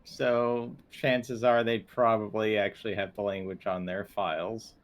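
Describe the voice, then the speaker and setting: A man's voice: fairly low pitch, level tone, measured pace. A man talking casually as a player in a tabletop role-playing game.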